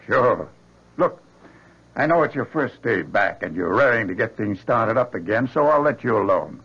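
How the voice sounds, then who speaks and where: Emphatic admiral, fatherly, insistent, husky, very animated pitch, 1970s radio drama, muffled recording. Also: normal speed. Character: paternal male admiral, insistent, concerned authority. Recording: dull vintage radio recording.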